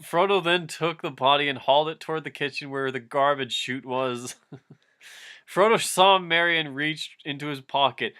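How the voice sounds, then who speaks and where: A man's voice: fairly high pitch, normal speed, sharp tone, clean tone. A man reading fan fiction aloud for laughs, mock-dramatic, often interrupting himself with casual, giggly asides.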